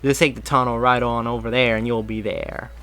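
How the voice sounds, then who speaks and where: A man's voice: mid-range voice, level tone, fairly quick, bright, forceful tone, smooth, soft background music, close to an everyday voice. A man voicing a helpful middle-aged passerby who gives directions in a casual, offhand way.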